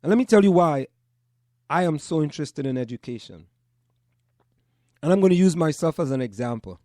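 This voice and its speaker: A man from Trinidad and Tobago, hosting a call-in radio show and speaking in measured, short broken phrases. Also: on the high side, lively intonation, dark tone, no echo, studio quality. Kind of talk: call-in radio talk monologue.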